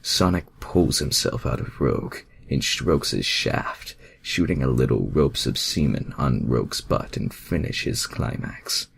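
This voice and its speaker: A man's voice: a bass voice, sing-song, unhurried, gravelly, hardly any pauses, breathy edge. A man reading fan fiction aloud as a casual amateur narrator, stumbling over and misreading words.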